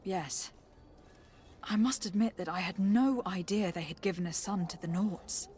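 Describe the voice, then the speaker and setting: Woman playing a poised young noblewoman, mid-pitched. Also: measured pace, a little mellow, close to an everyday voice. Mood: sympathetic. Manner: polite, composed, formal. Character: poised young noblewoman diplomat, courteous and reassuring. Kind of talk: scripted video game dialogue.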